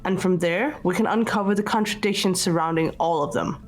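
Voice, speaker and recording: A woman playing a composed, logical student detective in an amateur game dub, speaking in a measured way over music. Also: fairly low pitch, lightly acted. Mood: calm, analytical. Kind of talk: scripted amateur visual novel voice acting. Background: background music.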